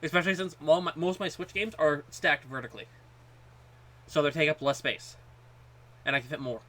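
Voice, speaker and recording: A man co-hosting a podcast, chatting casually and haltingly, often repeating words as he goes. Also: on the high side, steady tone, pushed and bright. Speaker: podcast co-host. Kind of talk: casual chat about gaming.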